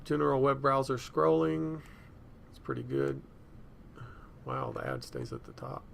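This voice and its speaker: A man presenting a software tutorial in a casual, unscripted way, thinking aloud as he goes. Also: medium pitch, huge pitch swings, a little slow, gravelly, slight background noise. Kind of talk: casual screencast demonstration.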